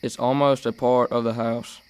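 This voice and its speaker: An anxious, stubborn boy of ten , acted by a young man in an amateur radio drama. The voice is smooth and clear, with little rise and fall in pitch. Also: level tone, moderate pace, a little mellow, natural voice. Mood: uneasy, wondering. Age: teenager or young adult. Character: anxious, stubborn ten-year-old brother. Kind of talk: amateur radio play acting.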